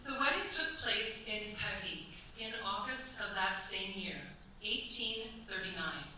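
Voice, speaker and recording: A woman acting as the formal, measured storyteller of a scripted period radio play. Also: mid-range voice, sharp tone. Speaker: narrator. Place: staged performance in a cultural centre. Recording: very echoey hall, distant mics, faint hiss.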